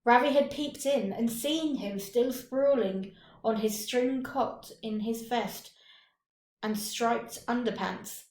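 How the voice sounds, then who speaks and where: Woman narrator, high-set voice, very unhurried, expressive story reading, dramatic pauses, echoey room. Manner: deliberate, dramatic phrasing with pauses. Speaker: teacher reading a short story. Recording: roomy, echoing room.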